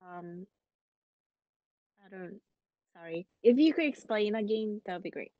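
A woman's voice: medium pitch, animated, measured pace, dry recording. A woman whose first language is Korean answers a professor in an online class, hesitant and hunting for words. Her voice comes through dull and dry over the call. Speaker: graduate student.

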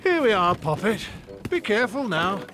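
A man voicing a middle-aged, amiable and bumbling king and father in a preschool cartoon, mild and expressive. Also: high voice, moderate pace, heavy background noise. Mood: fond.

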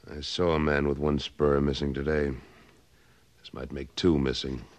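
A man's voice: extremely low pitch, flat delivery, normal speed. An actor playing a tough cattle rancher and former cavalryman who talks in a blunt, pressing way in a 1950s radio western. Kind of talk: 1950s radio drama acting.

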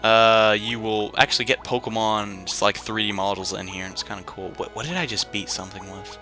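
A man's voice: on the low side, fairly even intonation, fairly quick, music in the background. A young man giving casual, rambling game commentary full of slang and jokey asides. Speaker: gaming video host. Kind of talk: casual let's play commentary.

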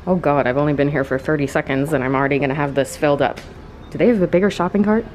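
A young adult woman chatting casually in a vlog, gushing and exclaiming in an excitable way. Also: low-pitched, very fast. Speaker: vlogger. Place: indoors, bookstores and an empty room at home. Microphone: handheld camera at arm's length. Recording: noticeable background noise.

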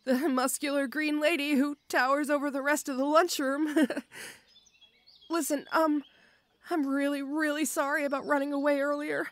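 A woman playing a shy, awkward, muscular tomboy orc schoolgirl in an audio roleplay, halting and stammering timidly. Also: high-pitched, flat delivery, close to an everyday voice. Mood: apologetic, timid. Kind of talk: roleplay audio acting addressed to the listener.

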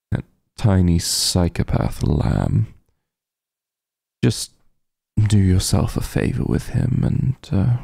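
A man voicing a possessive, teasing vampire mafia heir in an intimate ASMR roleplay, husky and gravelly, with a condescending drawl. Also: on the low side, dark tone, dry recording, studio quality, full character voice. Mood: weary, wry. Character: possessive, teasing male vampire mafia heir, coolly menacing yet doting.

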